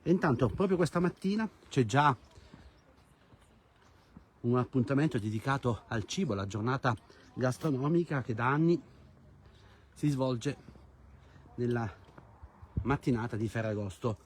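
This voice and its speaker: A man's voice: mid-range voice, expressive, a little slow, pauses often, slightly rough. A man hosting a live travel stream outdoors, speaking directly to viewers like a presenter. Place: outdoors in a hilltop village. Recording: noisy outdoor recording with clicks.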